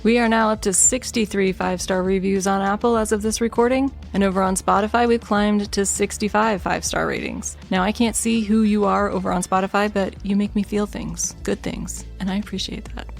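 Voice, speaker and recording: A woman's voice: mid-range voice, steady tone, vocal fry, soft background music. Soothing-voiced woman hosting a podcast. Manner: soothing, measured delivery. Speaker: podcast host. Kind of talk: scripted true crime narration and chat.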